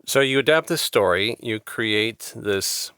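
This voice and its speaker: A man with a somewhat low, smooth and resonant voice hosts a relaxed interview. He nods along with "sure, sure" and builds loose questions. The sound is dry and close. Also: measured pace, no room sound. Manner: affirming, with filler-laden questions. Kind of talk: casual interview.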